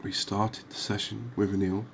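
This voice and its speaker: A man giving relaxed, unhurried, musing ASMR-style gameplay commentary, chatting to his viewers. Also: fairly low pitch, creaky, a little hiss or hum. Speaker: host of a cozy fishing game channel.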